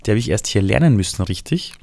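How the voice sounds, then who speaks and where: Gravelly low-voiced man from Iran, Viennese accent. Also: fast, no room sound. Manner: lively storytelling, shifts voice for quotes. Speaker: interviewee. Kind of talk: relaxed interview talk.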